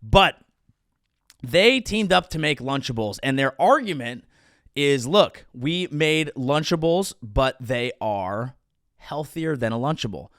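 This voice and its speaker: A man's voice: mid-range voice, expressive, takes it slowly, fairly bright tone, no room sound, clean studio sound. A man in his thirties hosting a comedy show, delivering a sarcastic monologue with mock-outraged rants. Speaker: comedian host.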